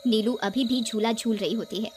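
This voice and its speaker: A woman's voice: fairly high pitch, moderate pace, soft background music. A woman narrator reading a scripted horror story.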